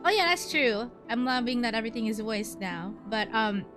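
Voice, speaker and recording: A woman's voice: a little high, lively intonation, normal speed. A woman streaming a game, chatting casually in a playful, informal way. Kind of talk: casual livestream gameplay chat. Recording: game music behind voice.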